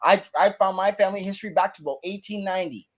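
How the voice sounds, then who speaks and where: Debate panelist, male, from Canada, high register, combative and emphatic, repeats phrases, swears freely. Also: racing, lo-fi sound. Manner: emphatic, repetitive, combative, profane. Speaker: panelist on an online debate show. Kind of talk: heated informal argument.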